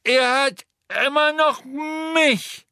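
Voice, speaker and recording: A man voicing a brave, desperate teenage boy wizard who shouts defiantly through strain. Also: very high-pitched, steady tone, very slow, no echo, clean studio sound, pitched above the performer's own voice, heavily acted.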